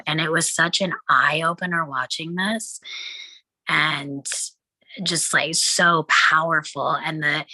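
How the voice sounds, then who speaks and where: A woman's voice: low-pitched, pushed and bright, no echo, low-quality recording. A woman talking reflectively in a group discussion after a script reading. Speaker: table read participant discussing a film script.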